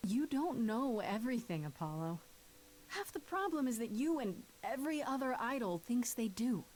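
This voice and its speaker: A woman's voice: a little high, sing-song, moderate pace, a little mellow, slight background noise, poor audio. An actress voicing a spirited, earnest young woman in a video game, with a direct conversational manner. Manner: direct, conversational, slightly sardonic.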